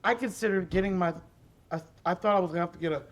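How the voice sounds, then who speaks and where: A woman in her forties with a deep, raspy voice, telling her story as a podcast guest in an animated, emphatic way and repeating key phrases. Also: very fast. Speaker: podcast guest, special education advocate. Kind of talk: conversational interview storytelling.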